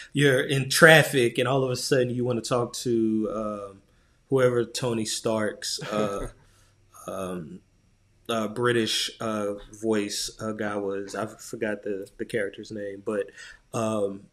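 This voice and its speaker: Male podcast co-host, low-pitched, loose and slangy, works out thoughts aloud in casual conversation. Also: fairly bright tone. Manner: informal, slangy, thinking aloud. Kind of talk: casual chat about tech.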